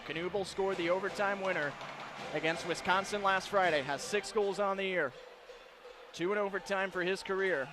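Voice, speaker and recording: A man doing live radio play-by-play commentary of ice hockey, narrating the action in crisp detail from an arena. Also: fairly high pitch. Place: broadcast position in an indoor hockey arena. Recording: live broadcast with clear arena noise.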